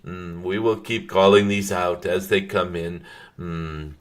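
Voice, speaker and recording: A man channeling a serene, benevolent golden cosmic dragon, speaking formally and haltingly with frequent drawn-out hums to a live audience. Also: low voice, fairly even intonation. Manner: formal, ceremonial phrasing. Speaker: channeler hosting a live stream.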